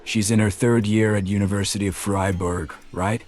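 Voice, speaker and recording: Brief: narrator doing a jovial, menacing male heavy, low voice, says "mate". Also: steady tone, moderate pace, a little hiss or hum, natural voice. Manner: cheery tone over quiet threats, says "mate". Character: menacing jovial male enforcer, thickset hired guardian.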